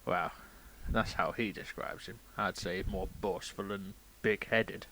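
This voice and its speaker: A man playing a grumpy, self-important railway controller in a comedy, argumentative and deadpan, given to digressions. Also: sits low, a little slow, a little hiss or hum, low-quality recording. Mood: boastful, deadpan. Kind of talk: improvised comedy banter and story reading.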